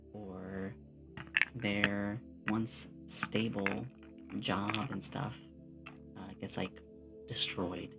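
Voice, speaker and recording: Creaky-voiced streamer chatting over loud music. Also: low voice, poor audio. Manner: rambling storyteller, acts out short quotes. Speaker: streamer, VTuber host. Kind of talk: casual chat with stream viewers; relaxed ASMR stream.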